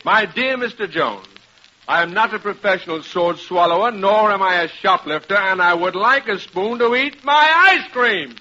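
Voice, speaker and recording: An actor playing a fussy, easily exasperated college professor in a vintage radio sitcom, speaking with indignant, over-precise wording. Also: male voice, high voice, moderate pace, pushed and bright. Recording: noisy, muffled vintage radio recording.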